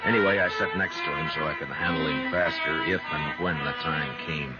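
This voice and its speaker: A low-voiced western marshal tells his story in a measured, commanding way. He is steady and terse, a hard-bitten lawman of radio drama. The old recording is dull, with loud music. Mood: grave narration. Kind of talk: 1950s radio western acting and first-person narration.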